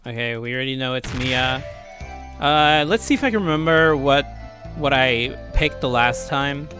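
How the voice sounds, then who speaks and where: A man's voice: medium pitch, normal speed, over music. A man giving casual, rambling let's play commentary with crude joking asides. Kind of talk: casual game commentary and read-aloud.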